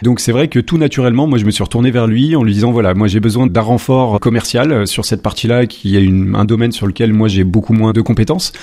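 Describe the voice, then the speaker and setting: A French man in his forties, a company founder answering interview questions in a measured way with many hesitations. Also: lowish voice, dark tone. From France. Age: 40s.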